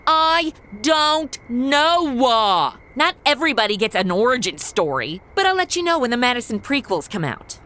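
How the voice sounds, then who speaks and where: A woman's voice: fairly high pitch, big pitch swings, normal speed, few pauses, sharp tone. An actress playing a breezy, sarcastic modern young woman in a radio comedy, flippant and full of wisecracks. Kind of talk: comedy audio drama.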